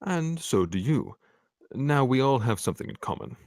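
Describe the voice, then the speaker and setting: A man's voice: mid-range voice, lively intonation, normal speed, gravelly, darkish tone. A man at a live table read playing a sardonic rogue with a dry manner.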